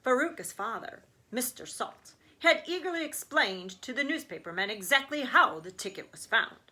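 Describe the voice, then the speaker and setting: A woman's voice: fairly high pitch, animated, moderate pace, bright, forceful tone. A woman reading a children's story aloud as a teacher would, with emphatic storytelling phrasing.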